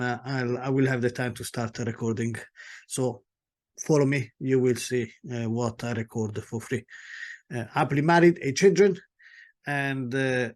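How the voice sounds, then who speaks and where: Italian-accented man giving a webinar talk. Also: medium pitch. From Italy. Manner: hesitant, many fillers and restarts. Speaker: guest speaker, property solicitor.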